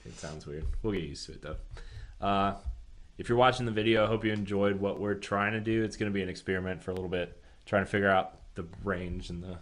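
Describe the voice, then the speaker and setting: A man's voice: low-pitched, fast, a bit bright. A man of about thirty co-hosting a podcast, talking in a laid-back, slangy way as if with an old friend. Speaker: podcast co-host. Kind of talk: casual chat between friends about games.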